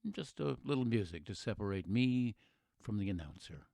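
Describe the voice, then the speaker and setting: A man with an American accent playing an earnest, reflective radio narrator, delivering a scripted patriotic monologue in a measured, oratorical way. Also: fairly low pitch, animated, creaky, warm tone, dry recording, clean studio sound. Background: music behind the voice.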